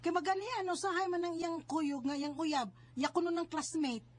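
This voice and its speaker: A woman's voice: very high voice, moderate pace. A woman with a Cebuano Filipino accent playing a protective, scolding married older sister in a radio drama. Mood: gossipy, concerned. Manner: nagging, emphatic. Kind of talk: Bisaya radio drama acting.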